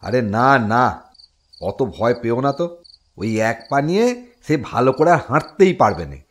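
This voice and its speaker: A gruff, bold farmer husband, acted by a man from a storybook cartoon. He has a mid-pitched, very animated voice and a blustering manner. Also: normal speed. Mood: dismissive, confident. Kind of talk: Bengali fairy-tale cartoon voice acting.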